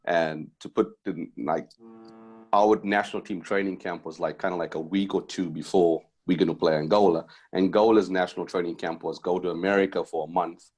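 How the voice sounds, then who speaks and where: Deep-voiced man from Zimbabwe, rambling. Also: fairly even intonation, brisk, darkish tone, breathy edge. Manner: rambling, many fillers and restarts. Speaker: basketball head coach, guest. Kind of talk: casual interview chat.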